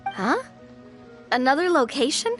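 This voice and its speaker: A woman voicing a spirited, playful young lady in an anime game, expressive and enthusiastic. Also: sits high, a little slow, soft background music, heavily acted. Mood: excited.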